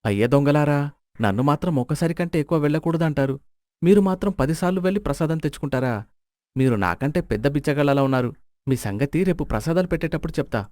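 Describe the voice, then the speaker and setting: A man's voice: fairly high pitch, expressive, quick pace, a little mellow, no echo, studio quality, lightly acted. A man voicing a sly village beggar in a moral story, theatrical and sarcastic, full of mock pity. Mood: indignant. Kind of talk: Telugu moral-story character acting.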